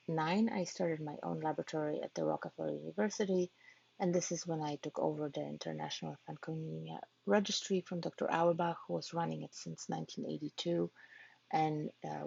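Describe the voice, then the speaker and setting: A woman from Poland, a physician-scientist, giving a prepared research talk to patient families in an earnest, measured way with occasional hesitations. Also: deep voice, gravelly, darkish tone, husky, no room sound. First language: Polish. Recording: dull lo-fi online talk audio.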